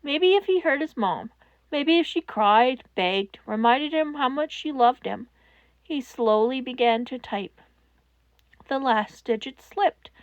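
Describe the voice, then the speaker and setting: A woman reading fan fiction aloud as audiobook narration, in a slow, measured way. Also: high-pitched, animated, no room sound, dull audio. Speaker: podfic reader.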